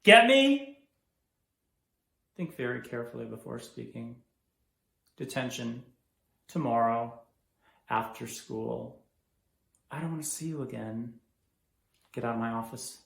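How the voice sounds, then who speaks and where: A man's voice: mid-range voice, very animated, measured pace, darkish tone. A man acting a stern, threatening, old-school principal with a clipped, authoritarian manner in a monologue. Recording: echoey room.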